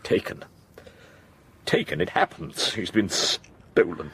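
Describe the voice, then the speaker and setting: An actor playing a proud, grief-stricken young English gentleman in an audio drama, with a gravelly, breathy rasp. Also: low-pitched, big pitch swings, slow pace, heavily acted. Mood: anguished.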